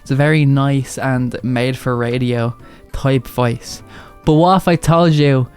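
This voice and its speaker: A man's voice: a little slow, clear, resonant tone. Guy doing youtube commentary, voice bounces up a lot when he stresses stuff, faint music behind. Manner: animated, jumps up in pitch for emphasis. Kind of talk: casual video commentary.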